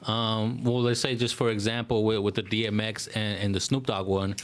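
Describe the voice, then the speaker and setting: A man's voice: lowish voice, little pitch movement, normal speed. A young man co-hosting a casual podcast discussion, talking in slang with frequent swearing. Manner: slangy, profane. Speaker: podcast co-host. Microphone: desk microphone.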